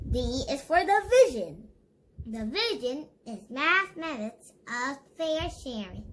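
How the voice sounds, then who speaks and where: A child host reading an educational picture book aloud in a deliberate, instructive way, speaking directly to viewers. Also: high-pitched, fairly bright tone, slight background noise, poor audio.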